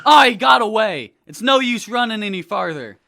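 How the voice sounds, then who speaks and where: Woman as a very high-pitched, shouting cop. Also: sing-song, moderate pace. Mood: frustrated. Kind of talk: radio drama acting. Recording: loud music and crowd, car noise.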